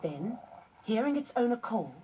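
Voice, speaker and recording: A woman narrating a children's story from a script in measured phrases with short pauses. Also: on the high side, sing-song, dark tone, slight background noise, rough recording. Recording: music behind the voice. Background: music.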